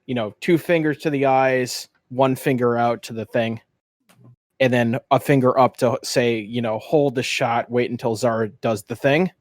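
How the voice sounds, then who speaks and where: Man, medium pitch, tabletop player, casual action descriptions, dry close recording. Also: steady tone, moderate pace, no room sound. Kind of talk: casual in-game chat.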